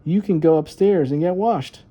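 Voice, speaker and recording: A patient but firm babysitter from a storybook, a grown woman, as a man reads her. The pitch is lifted and the tone is mellow. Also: normal speed, husky. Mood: calm, encouraging. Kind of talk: children's storybook read-aloud.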